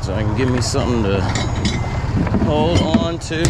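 A young man narrating his own fishing trip in a relaxed, slangy way, talking to a camera on his boat. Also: mid-range voice, moderate pace, heavy background noise. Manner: laid-back, slangy, talks to camera while working. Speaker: fishing vlogger. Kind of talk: casual outdoor vlog narration. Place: outdoors at a boat ramp and on open water.

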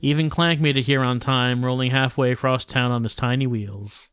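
A man's voice: medium pitch, steady tone, normal speed, a bit bright. A man livestreaming a visual novel, reading the text aloud and adding casual, dry, tongue-in-cheek asides. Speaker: streamer playing a visual novel. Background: game music.

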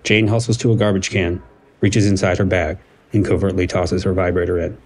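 An actor playing a dry, matter-of-fact narrator of scenes in an audio drama. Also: male voice, deep voice, flat delivery, fairly quick, background noise, natural voice.

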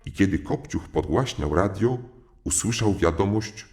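A man's voice: deep voice, lively intonation, measured pace. A man narrating a comic audio fairy tale as a formal, courteous storyteller. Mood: measured. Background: music.

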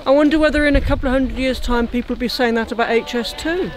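Chatty vlogging outdoors. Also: female voice, high-pitched, steady tone, fast talker. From Nottingham, England. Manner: enthusiastic, chatty. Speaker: travel vlogger. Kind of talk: casual walking vlog to camera. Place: outdoors in open countryside.

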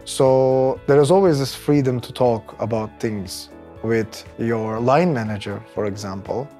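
Man, 30s, Turkish accent, medium pitch, conversational workplace testimonial, light music underneath. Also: a little slow, dark tone. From Turkey. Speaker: employee interviewee, technical project manager. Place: bright office room indoors, by large windows. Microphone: lapel mic. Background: background music.

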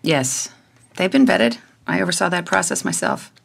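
A woman playing a brisk, dry, authoritative boss in an audio drama office scene. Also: on the low side, expressive, creaky, a little hiss or hum. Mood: dry, assured. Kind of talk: naturalistic audio drama acting.

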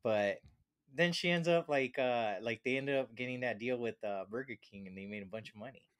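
A man's voice: mid-range voice, normal speed, clean tone, no room sound. A man in his thirties chatting casually and jokingly on a podcast in informal, slangy speech, close on the microphone. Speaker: podcast co-host. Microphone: close podcast microphone with headphones.

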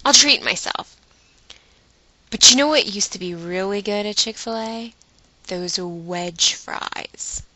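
A woman with a bright, forceful voice tells a story to her viewers like a chatty vlogger. She takes her time, leaning on words for dramatic effect and acting out her own inner dialogue. The sound is close and dry. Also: medium pitch, dry recording, rough recording. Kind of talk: animated storytelling monologue to viewers.

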